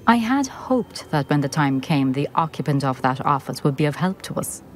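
An actress playing a formal, composed former headmistress speaking from a portrait in a game. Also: female voice, deep voice, lively intonation, normal speed, soft background music. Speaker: game character.

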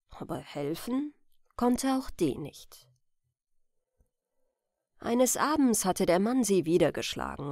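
Female bedtime storyteller: gentle, slow delivery, animated intonation, in a dry recording. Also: on the low side, dry recording. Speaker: storyteller, channel host. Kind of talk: bedtime fairy-tale narration.

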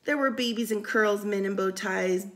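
A woman reading a picture book aloud for storytime, expressive and sing-song, with casual asides. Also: mid-range voice, normal speed. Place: indoors, room by a window.

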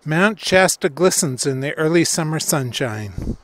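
A man's voice: a little high, expressive, unhurried, a bit bright, a little hiss or hum. A man delivering scripted travel narration as the presenter of a hiking channel. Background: background music.

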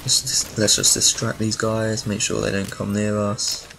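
A man with a fairly low voice talks through a video game in a casual guide style. He is recorded with audible noise and game ambience behind him. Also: completely flat delivery, a little slow, vocal fry, pushed and bright, low-quality recording. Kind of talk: casual walkthrough commentary over gameplay.

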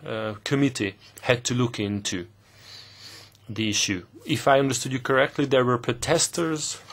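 A man interpreting formal committee questions live, speaking in halting bursts with pauses mid-phrase. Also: medium pitch, a bit bright. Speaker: simultaneous interpreter.

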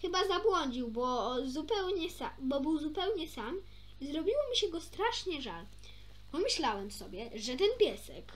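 High-pitched, animated woman reading a story. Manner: animated, stumbles and rereads phrases. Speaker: amateur storyteller reading a children's book. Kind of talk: expressive read-aloud narration of a children's story.

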